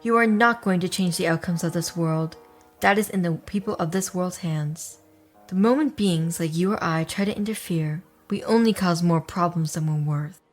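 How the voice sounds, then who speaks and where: A woman playing a stern, aloof, menacing wanderer in an audio drama, speaking in a formal, measured way. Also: lowish voice, soft background music, close to an everyday voice. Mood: stern, lecturing.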